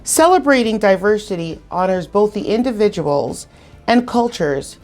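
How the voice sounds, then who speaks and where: A woman hosting a virtual community event. She speaks at a mid pitch and reads a prepared script. Her delivery is measured and deliberate. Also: lively intonation, darkish tone, faint music behind. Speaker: event host. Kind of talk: scripted introduction.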